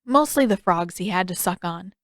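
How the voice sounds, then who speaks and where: A woman's voice: fairly low pitch, lively intonation, normal speed, no echo, studio quality, close to an everyday voice. A woman voicing an earnest, sheepish young boy in an audiobook, hesitant and a little defensive. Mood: insistent.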